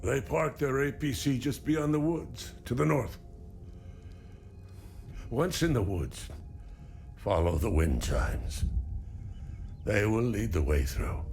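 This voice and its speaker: An actor playing a weary, stoic older farmer in a video game, grieving and embittered by war, speaking in a measured way. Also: male voice, medium pitch, vocal fry, a little mellow, soft background music. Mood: helpful, subdued. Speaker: non-player character. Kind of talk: video game dialogue acting.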